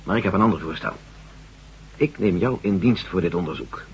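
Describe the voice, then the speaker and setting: An actor playing a gruff, jovial army general in a Dutch radio drama, talking in a bluff, hearty, colloquial way with a husky voice. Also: male voice, sits low, brisk, a little hiss or hum. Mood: businesslike, friendly. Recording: dull, muffled old radio recording.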